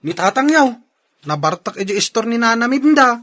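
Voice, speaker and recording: An actor plays an excitable, nosy young sibling in an Ilocano radio drama. The voice is sharp and bright with a lively, swinging pitch and an Ilocano accent. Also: a little fast. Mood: alarmed. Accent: Filipino, Ilocano.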